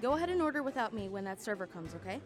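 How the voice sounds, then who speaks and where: A woman acting in an audio drama as a brisk, casual aunt. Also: medium pitch, very animated, over music, natural voice.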